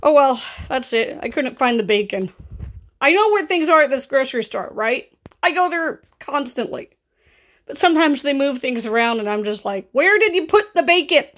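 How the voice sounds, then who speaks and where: A woman in her thirties with a scratchy, raspy voice and vocal fry, chatting casually through a grocery haul in her kitchen. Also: sits high, animated, normal speed, fairly bright tone. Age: 30s. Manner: chatty and offhand, rising into exclaimed asides. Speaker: vlogger. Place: home kitchen, indoors.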